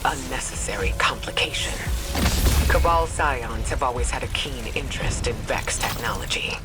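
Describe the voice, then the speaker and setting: A man's voice: mid-range voice, expressive, moderate pace, close to an everyday voice. Earnest male ally voiced by a man. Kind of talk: video game dialogue. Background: game score music.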